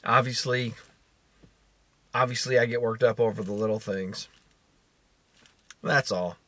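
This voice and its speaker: A man's voice: mid-range voice, fairly even intonation, measured pace, noisy background. A man in his fifties chatting casually to a vlog in a rambling, wry, self-deprecating way, recorded in a car. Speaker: vlogger. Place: inside a parked or moving car.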